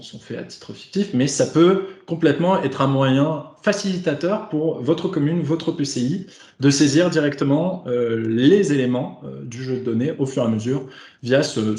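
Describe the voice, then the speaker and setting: A man's voice: mid-range voice, normal speed, a little mellow. Male webinar host, wordy explanatory delivery with hesitations, roomy echoing call sound. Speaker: host and facilitator of an online webinar. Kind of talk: semi-formal webinar moderation. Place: remote video conference.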